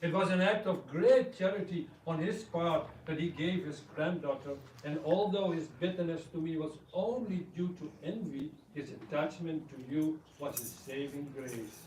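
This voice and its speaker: Guy doing a kind godly king consoling his queen, tender n measured, a bit far from mic, faint noise. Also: a little high, a little mellow, natural voice. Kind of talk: amateur dramatic reading. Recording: roomy, distant recording, faint noise.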